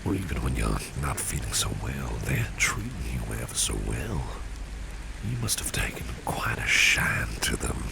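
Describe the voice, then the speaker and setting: A man acts out a gentlemanly, protective boss in a flirtatious ASMR roleplay. His voice is exceptionally low and heavily creaky, sinking into a breathy half-whisper. He takes his time with suave, courtly phrases while rain and street sounds are clearly heard behind him. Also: normal speed, full character voice. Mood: flirtatious, admiring. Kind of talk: ASMR roleplay spoken to the listener. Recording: steady rain and street ambience noise. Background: rain falling, passing vehicles.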